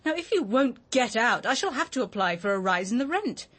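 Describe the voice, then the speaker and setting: A woman's voice: a little high, big pitch swings, brisk, a bit bright, breathy edge, exaggerated voice. An actress playing a hard, unyielding British landlady in a radio drama, speaking in a clipped, formal, disapproving way. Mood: cold, scornful.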